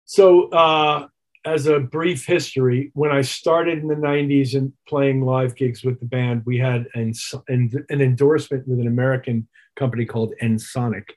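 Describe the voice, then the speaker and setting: A middle-aged man with a husky voice answering interview questions as a musician, reflective and detailed in his explanations. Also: mid-range voice, fairly even intonation, normal speed. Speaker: interview guest, touring keyboard player.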